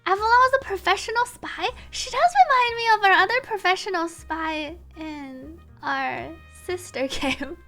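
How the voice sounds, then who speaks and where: A woman streams her casual reactions to a video game in a strikingly high voice. Her tone is bright and forward, and her manner is chatty and giggly. Soft music plays underneath. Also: moderate pace, few pauses.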